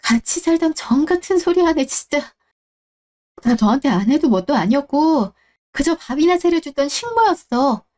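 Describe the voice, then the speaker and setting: A woman's voice: sits high, sing-song, normal speed, dark tone, pitched above the performer's own voice. A woman playing a proud ex-wife and company executive in a radio drama, scolding with cutting, defiant scorn. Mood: exasperated, defiant. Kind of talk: Korean radio-drama story acting.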